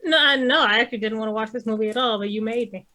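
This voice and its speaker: A woman's voice: fairly high pitch, racing, sharp tone. A woman in a casual opinionated panel chat, blunt and exasperated, swearing heavily. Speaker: podcast co-host.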